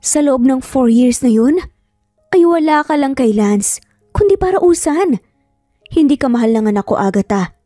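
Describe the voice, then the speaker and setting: A woman acts out a haughty older matriarch in an audio drama, strict and threatening. She speaks in a fairly high voice with a mellow edge, over music. Her manner is sarcastic, commanding and merciless. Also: expressive, moderate pace, long pauses, no echo, clean studio sound. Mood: angry, threatening.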